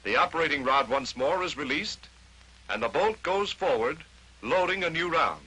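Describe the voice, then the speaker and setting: A man's voice: on the high side, animated, normal speed, pushed and bright, husky, rough recording. An American man narrating a 1940s instructional training film, explaining step by step in short declarative statements on a vintage film soundtrack.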